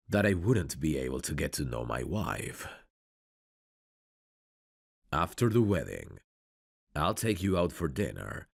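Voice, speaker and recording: A man acting a courteous, tender mafia bridegroom in an intimate ASMR romance roleplay, soft-spoken and flirtatious with a husky, gravelly voice. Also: extremely low pitch, no room sound, clean studio sound, exaggerated voice. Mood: apologetic, hopeful.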